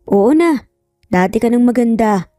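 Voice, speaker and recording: A woman's voice: a little high, lively intonation, unhurried, warm tone, no echo, studio quality. A Filipino woman voicing a bossy, playful young man in love, in a teasing, commanding way. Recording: music behind the voice.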